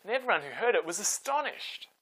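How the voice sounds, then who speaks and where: Bright, animated male storyteller. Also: sits high, fast talker, slightly rough, low-quality recording. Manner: expressive, dramatic delivery. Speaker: storyteller and host. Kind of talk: scripted children's Bible storytelling.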